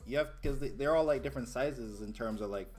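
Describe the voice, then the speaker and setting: A man's voice: medium pitch, quick pace, darkish tone, faint music behind. A man from Jamaica co-hosting a podcast, bantering casually, swearing freely and laughing often. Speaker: podcast co-host.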